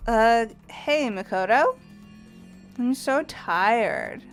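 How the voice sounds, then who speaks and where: A woman voicing an energetic, athletic teenage girl obsessed with donuts, gushing and emphatic, in a fan-voiced visual novel game. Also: a little high, drawn-out words, soft background music, exaggerated voice. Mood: tired.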